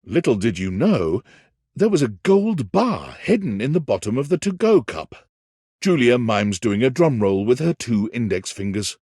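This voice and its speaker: A man's voice: mid-range voice, very animated, normal speed, darkish tone, slightly rough, no echo, clean studio sound. An actor playing a wry, dramatic storyteller, a man narrating a cheeky dating game in an arch, florid, theatrical way. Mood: teasing. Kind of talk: scripted narration.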